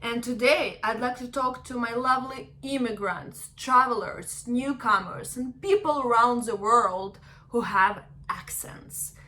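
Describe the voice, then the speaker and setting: A woman's voice: fairly high pitch, a little slow, bright, forceful tone, a little hiss or hum. A young woman from Ukraine with a Russian accent, encouraging her viewers in casual talk to the camera and often checking in with "right?". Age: young adult. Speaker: vlogger.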